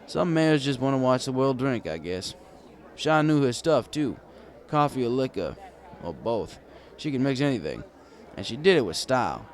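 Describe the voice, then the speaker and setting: Animated male storyteller, wry and conversational. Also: medium pitch. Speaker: fan fiction reader. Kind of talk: first-person scripted story narration.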